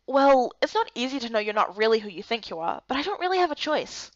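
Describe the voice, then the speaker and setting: Woman as a high-voiced, curious young heroine. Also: sing-song, fast talker, dry recording, dull audio. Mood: unsure. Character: young human heroine, curious, unsure, plain-spoken. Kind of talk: first-person visual novel narration and dialogue.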